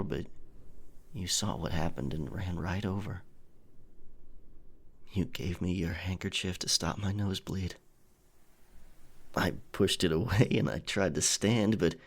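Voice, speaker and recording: A man's voice: lowish voice, exaggerated voice. A man playing a roguish, affectionate pirate captain in an intimate, teasing romantic ASMR audio roleplay.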